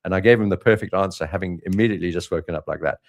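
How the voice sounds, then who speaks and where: A man's voice: low-pitched, very fast. A man hosting a live trading update, talking loosely with many fillers and restarts about markets and his own past. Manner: relaxed, rambling with frequent fillers and restarts. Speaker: trading channel host.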